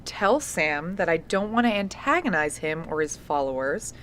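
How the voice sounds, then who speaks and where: An earnest, persistent young female researcher in a horror audio drama. Her voice is slightly low, captured on a noisy field tape. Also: very animated, moderate pace, fairly bright tone. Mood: insistent. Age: young adult.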